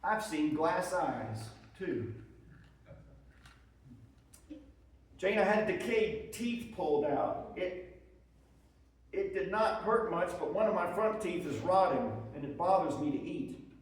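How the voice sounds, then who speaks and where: Casting: male actor as high-voiced, weary but defiant Civil War soldier reading letters home, folksy and plain-spoken, distant echoey live recording. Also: lively intonation, unhurried. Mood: somber. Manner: plain-spoken, folksy storytelling with rustic grammar. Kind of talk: dramatic reading of wartime letters. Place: live presentation in an echoey room. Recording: echoey room, distant microphone.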